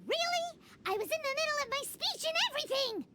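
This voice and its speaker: A woman voicing a tiny floating fairy companion who is bossy and bratty, with a high squeaky childlike voice and a habit of speaking of herself in the third person. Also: expressive, brisk, heavy background noise. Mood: smug. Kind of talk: video game voice acting. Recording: game audio with loud music behind.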